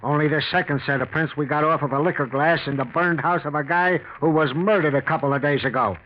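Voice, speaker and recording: Higher-voiced, clipped 1940s radio police detective actor. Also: male voice, fast talker, natural voice. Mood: hard, accusing. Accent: American. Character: brisk, confident male police detective booking a suspect. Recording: muffled, faintly noisy 1940s radio recording.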